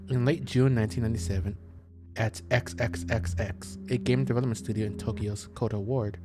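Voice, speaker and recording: A man's voice: mid-range voice, steady tone, fairly quick, noisy background. A man playing a game as a streamer, talking through it aloud in let's play style. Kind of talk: casual let's play commentary. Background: game music.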